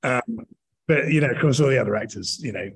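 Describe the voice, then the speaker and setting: A man's voice: medium pitch, fast talker, gravelly, breathy edge. A middle-aged British man answering conversationally in an interview, rambling and often rephrasing himself mid-sentence. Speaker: interview guest, touring actor and director.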